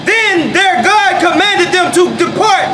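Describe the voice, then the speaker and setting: A man loudly declaiming scripture as a reader, in drawn-out, deliberate phrases. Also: extremely high pitch. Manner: loud, drawn-out, deliberate delivery. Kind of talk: shouted, declaimed scripture reading. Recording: very noisy, echoey, crowd sound behind.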